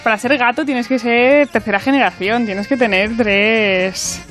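A woman's voice: on the high side, steady tone, unhurried, a bit bright, faint music behind. A young woman with a Madrid accent in a casual radio chat. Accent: Madrid Spanish. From Madrid, Spain. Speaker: radio contributor. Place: radio studio.

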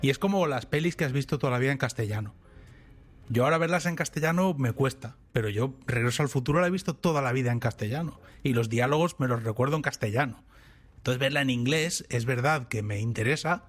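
Chatty man, rambling storyteller. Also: medium pitch. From Spain. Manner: rambling, animated storytelling with restarts. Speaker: podcast co-host. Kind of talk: casual chat.

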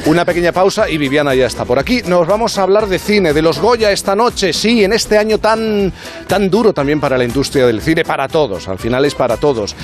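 A man's voice: a little high, fairly quick, faint music behind. A man from southern Spain hosting a radio show, chatting casually and laughing often with his guests. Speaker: radio host.

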